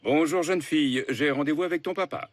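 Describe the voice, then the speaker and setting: A man's voice: on the high side, fairly quick, close to an everyday voice. A man voicing a polite, formal cartoon lawyer who gets flustered and protests. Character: polite, flustered male lawyer, easily indignant. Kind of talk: French animated series dubbing.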